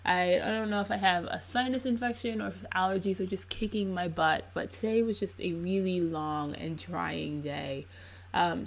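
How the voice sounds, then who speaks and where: Congested woman with a gravelly voice. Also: fairly low pitch. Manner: chatty and relaxed, with frequent ums. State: congested, under the weather. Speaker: scrapbook crafter narrating her process video. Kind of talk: casual voice-over narration.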